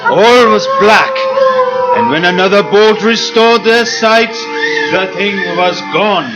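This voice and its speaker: Male radio play narrator, high and unhurried, with music behind. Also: poor audio. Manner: measured, building to urgent. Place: stage before a live audience.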